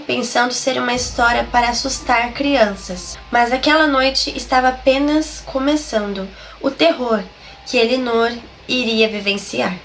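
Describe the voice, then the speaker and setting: A woman with a Brazilian accent who hosts a horror story channel and narrates slowly and dramatically, her voice nasal and a little hoarse from a flu. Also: high voice, a bit bright. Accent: Brazilian Portuguese. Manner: slow, dramatic storyteller's delivery. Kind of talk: slow scripted horror narration.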